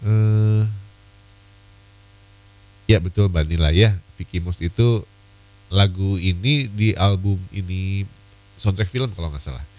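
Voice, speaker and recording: Man, radio DJ, very low voice, slightly hoarse, sniffly cold, chatty with fillers, mains hum. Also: takes it slowly, long pauses, darkish tone, faint music behind, poor audio. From Indonesia. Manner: chatty, friendly, many fillers and asides. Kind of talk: evening radio request show.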